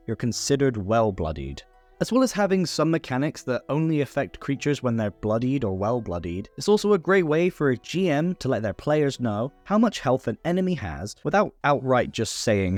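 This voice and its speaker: Man, scripted tabletop rules tutorial, lively intonation, mid pitch, close dry mic, faint music. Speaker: tabletop game rules explainer. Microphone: close voice-over microphone.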